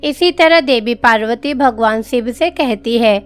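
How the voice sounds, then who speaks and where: High-voiced woman narrating over loud music. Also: unhurried, clean tone. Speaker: storyteller. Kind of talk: scripted narration of a devotional children's story.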